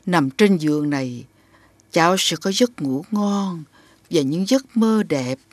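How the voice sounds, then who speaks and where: A woman voicing a kindly, lonely old witch grandmother in an animated fairy tale, gentle and doting. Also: on the low side, slow, no room sound. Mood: soothing.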